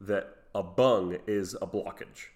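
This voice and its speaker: A man's voice: sits low, slow pace. A man co-hosting a casual chat, joking around with playful puns. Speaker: podcast co-host.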